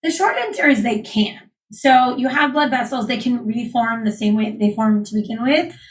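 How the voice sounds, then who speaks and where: A woman surgeon, calmly explaining voice care as an interview guest. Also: fairly high pitch, normal speed, rough recording. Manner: clear, explanatory, calm. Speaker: laryngologist guest. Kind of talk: interview, explaining medical topics.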